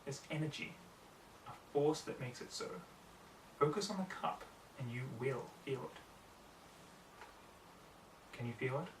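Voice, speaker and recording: Patient mare mentor read by a man, serious, measured, coaxing storybook teacher, echoey room with faint noise. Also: medium pitch, lightly acted. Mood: soft, coaxing. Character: patient, serious female unicorn pony, magic teacher. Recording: roomy, distant mic, faint noise.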